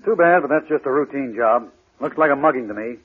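Actor as brusque American police inspector, tinny radio sound. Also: male voice, medium pitch, fast talker, breathy edge, natural voice. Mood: dismissive. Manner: brusque, slangy, dismissive. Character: brusque, confident male New York homicide police inspector. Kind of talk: old-time radio crime drama acting. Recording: tinny, band-limited old radio recording.

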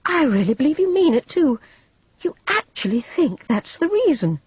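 Storybook villainess, performed by a woman: shrill, rattled, bitter and craven, with big swoops in pitch. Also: expressive, a little slow, breathy edge, full character voice. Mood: bitter, accusing. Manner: bitter, rattled, sharp short laugh. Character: shrill, nervous, sneering, craven young woman. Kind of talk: dramatised storybook reading. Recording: roomy, slightly dull audiobook recording.

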